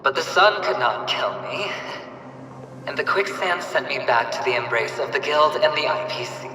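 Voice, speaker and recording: A theatrical, wildly swooping villainous young gambler. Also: male voice, medium pitch, expressive, bright, forceful tone, recorded from a distance. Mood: bitter, proud. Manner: theatrical, taunting, drawn-out delivery with dramatic swings. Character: flamboyant, cunning young male gambler and villainous showman. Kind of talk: video game cutscene acting. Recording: echoey hall reverb, music behind. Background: music.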